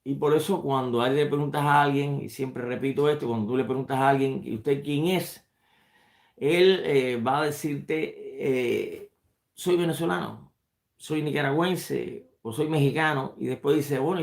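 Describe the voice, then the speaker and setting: A man teaching a religious class in a halting, searching way, often doubling back on a word before moving on. His voice has a gravelly edge. The room around him rings with echo. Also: mid-range voice. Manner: halting, repeats words while searching for them. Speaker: rabbi teaching a study class. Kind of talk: unscripted religious lesson.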